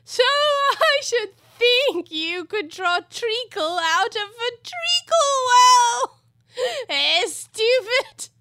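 A woman voicing a rude, overbearing male storybook hatter with a loud, taunting, drawn-out delivery. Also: very high-pitched, very animated, dry recording, studio quality, voice pushed up, heavily acted. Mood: loud, scornful.

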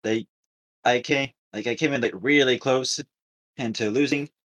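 A man co-hosting a podcast, telling a story casually with halting, repetitive, circling phrasing. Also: mid-range voice, fairly bright tone. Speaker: podcast co-host.

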